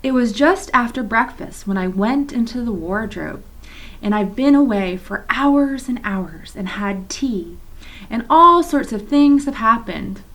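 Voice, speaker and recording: A woman reading aloud and voicing an excitable, earnest young girl who insists she is telling the truth. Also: a little high, a little slow. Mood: eager. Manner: pleading, insistent.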